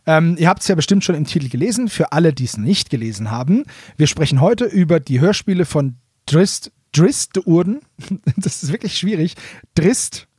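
Male co-host, fairly high voice, dry sound, chatty podcast delivery with English phrases mixed in. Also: lively intonation, normal speed, a little mellow, husky, no room sound. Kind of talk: casual podcast chat.

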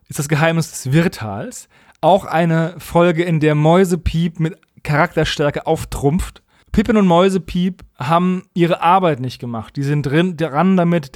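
A man's voice: on the high side, a little mellow, dry recording, studio quality. A man recapping stories as a podcast co-host in a colloquial, humorous, mockingly opinionated way. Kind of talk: casual humorous episode recap.

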